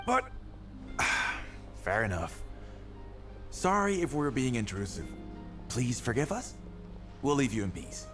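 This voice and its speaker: A man voicing a pushy, excitable newspaper editor in a video game, brisk, bossy and exaggerated. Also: mid-range voice, faint music behind. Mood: deflated, apologetic.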